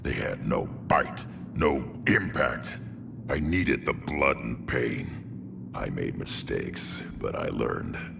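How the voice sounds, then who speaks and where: Extremely deep alien warrior, young and spoiling for a fight, for a sci-fi game. The delivery is blunt and gruff, swinging widely from low rumble to bark, heavy with gravel. Clear ambient noise sits behind it. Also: male voice, big pitch swings, slow, full character voice. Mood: proud, solemn. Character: young, battle-hungry alien warrior brute, blunt and proud. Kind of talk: video game character acting.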